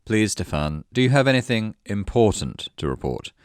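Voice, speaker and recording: A man acts out a storybook knight who is in command. His voice is very low and sounds dry and close. He stays polite and controlled, with an impatient edge. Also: sing-song, normal speed, clean tone, dry recording. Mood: firm, impatient. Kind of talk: acted story dialogue.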